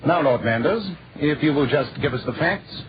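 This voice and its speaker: An actor playing a brisk, commanding British gentleman detective, clipped and authoritative, in 1940s radio style. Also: a little high, expressive, a little hiss or hum, natural voice. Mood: probing. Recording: muffled old radio recording, background murmur.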